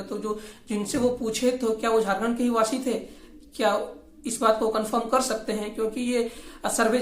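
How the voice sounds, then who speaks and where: Female political commentator, voice on the high side, argumentative and critical, informal delivery, strong room echo. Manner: critical, argumentative, repeats points. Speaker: host of a regional affairs channel. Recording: echoey, roomy voice recording.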